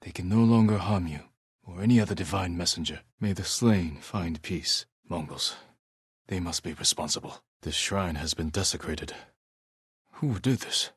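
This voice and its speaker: A stoic samurai hero from a video game. His voice is low and husky, recorded very dry and close. He speaks with grave, measured restraint and honorable determination. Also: no echo. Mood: somber, hushed. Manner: measured, earnest, restrained delivery. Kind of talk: scripted video game voice acting.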